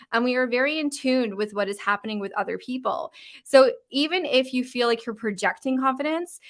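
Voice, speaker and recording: Bright-voiced Canadian woman with uptalk. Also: a little high. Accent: Canadian, West Coast. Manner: uptalk, informal with frequent fillers. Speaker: confidence coach. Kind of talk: casual live-stream talk. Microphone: live-stream mic.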